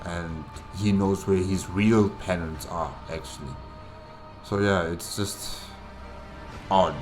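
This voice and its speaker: A man hosting a solo reaction video, talking casually and haltingly, repeating himself for emphasis. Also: sits low. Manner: halting, repetitive, emphatic about doubts. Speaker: reaction channel host.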